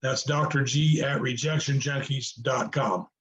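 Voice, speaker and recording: An older man speaking as a real estate coach, talking slowly and folksy with many fillers over a video call. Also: mid-range voice, steady tone, a bit bright. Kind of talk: casual video call chat. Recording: echoey dull video call audio.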